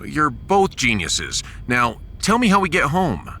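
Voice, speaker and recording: A man's voice: a little high, huge pitch swings, measured pace, bright, forceful tone, heavily acted. A man playing a bold, sarcastic starship captain in a science fiction audio drama, with a dramatic and wry delivery. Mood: excited.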